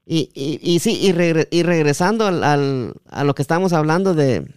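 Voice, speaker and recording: A man hosting a casual interview, halting and often seeking agreement with tag questions. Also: fairly high pitch, expressive, smooth, no room sound, studio quality. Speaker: podcast host.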